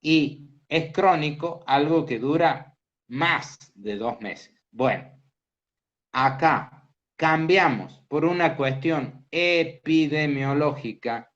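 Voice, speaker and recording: Slow-talking man lecturing on medicine. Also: on the high side, fairly bright tone. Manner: slow, explanatory, asks the class questions. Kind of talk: interactive lecture. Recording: dull lo-fi class recording.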